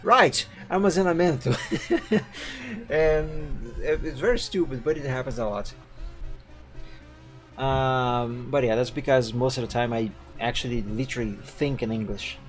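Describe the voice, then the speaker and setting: Man, rambling casual streamer, middle pitch, faint music behind. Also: measured pace. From Brazil. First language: Portuguese. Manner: rambling and chatty, frequent fillers and self-corrections. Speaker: livestreamer drawing live art. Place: at home.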